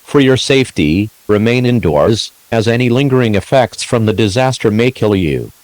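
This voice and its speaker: Guy doing an official emergency alert announcement, lowish voice, very dry sound, formal and measured. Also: lively intonation, darkish tone, no room sound. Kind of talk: scripted emergency broadcast announcement.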